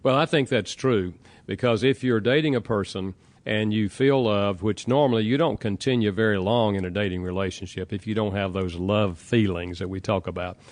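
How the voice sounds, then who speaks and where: A man with a Southern American accent speaks in a somewhat low voice. He answers interview questions patiently and explains his points step by step with everyday examples. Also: normal speed. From Southern United States. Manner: calm, explanatory, gives examples. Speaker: author being interviewed.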